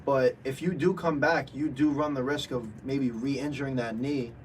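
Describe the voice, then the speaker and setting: A man co-hosting a casual sports debate, agreeing often and weighing both sides calmly. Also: medium pitch, normal speed, far from the mic, a little hiss or hum, rough recording. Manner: agreeable, frequent backchannels. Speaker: co-host.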